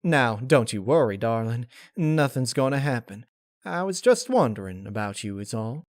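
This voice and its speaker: A man's voice: medium pitch, sing-song, normal speed, no room sound, clean studio sound, lightly acted. Man doing a guarded, folksy country mare. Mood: reassuring. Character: uneasy plain-spoken country mare, protective, grim underneath. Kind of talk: dramatic fan-fiction character reading.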